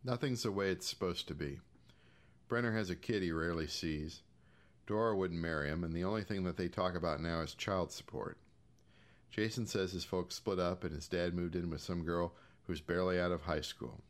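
A low-voiced American man narrates a short story. He reads from a script in an audiobook style, as a storyteller delivering fiction. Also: normal speed.

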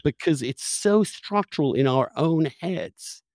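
A man hosting an opinionated conversational discussion, phrasing his points emphatically and deliberately, with pauses for effect. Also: fairly high pitch, lively intonation, a little mellow, dry recording.

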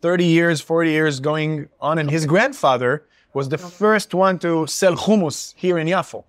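A man's voice: fairly high pitch, moderate pace, no room sound, studio quality. A man whose first language is Hebrew, working as a food guide and explaining a family restaurant's history in a chatty way with frequent restarts. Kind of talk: casual conversation. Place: hummus restaurant.

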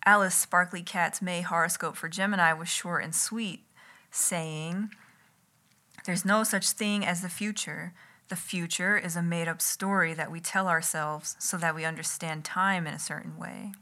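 Lady doing an asmr read-along of her own essay, lowish bright voice, wordy and wry, up close on the mic in her kitchen. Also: steady tone, unhurried. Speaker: writer reading own missive aloud. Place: home kitchen. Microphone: close enough to catch breath and lips.

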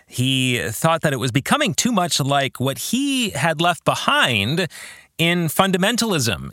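Middle-aged American male podcast host, pastor type. Slow, very animated pitch, reflective and questioning delivery. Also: dry recording, clean studio sound. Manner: poses reflective questions to the listener. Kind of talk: reflective book discussion podcast.

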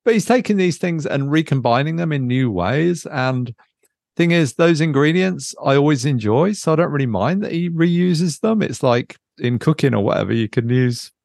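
A man's voice: fairly high pitch, lively intonation, moderate pace, vocal fry, a little mellow, dry recording, clean studio sound. A man chatting casually as a podcast host, thinking aloud hesitantly and drifting into asides. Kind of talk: casual unscripted book chat.

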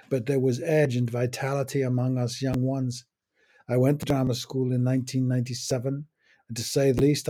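An older man muses over his memories in a measured, unhurried monologue. His voice is mellow and a little husky, and he lets short phrases fall apart with long pauses between them. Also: mid-range voice. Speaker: podcast host. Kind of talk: reflective personal monologue.

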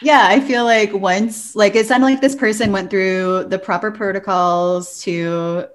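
A woman's voice: medium pitch, steady tone, measured pace. A woman co-hosting a podcast, chatting informally and giving her opinions in a casual panel discussion. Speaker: library podcast co-host.

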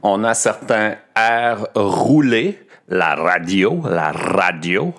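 A Québécois man talks slowly and deliberately as he walks listeners through a language lesson. His voice sits a little low, and he pauses often to pick his words. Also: expressive, creaky, a bit bright. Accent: Québécois French. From Quebec. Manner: slow, careful, with frequent hesitations. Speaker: podcast host and French teacher. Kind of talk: explanatory podcast lesson.

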